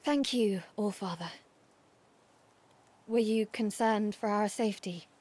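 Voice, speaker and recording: An actress playing a solemn, dutiful warrior maiden in a video game, speaking formally and resolutely. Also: female voice, mid-range voice, slow pace, darkish tone. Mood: subdued. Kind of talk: dramatic video game cutscene acting.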